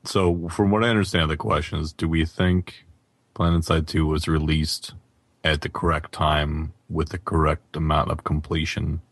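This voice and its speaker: A man's voice: rumbling low, level tone, normal speed, dry recording, clean studio sound. A man hosting a casual gaming podcast discussion. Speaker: podcast host.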